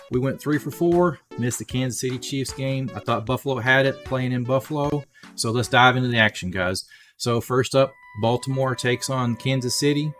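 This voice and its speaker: A man's voice: mid-range voice, a bit bright. Man giving sports picks over light music. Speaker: sports prediction host. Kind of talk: short scripted prediction video. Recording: faint guitar music behind. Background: light guitar music.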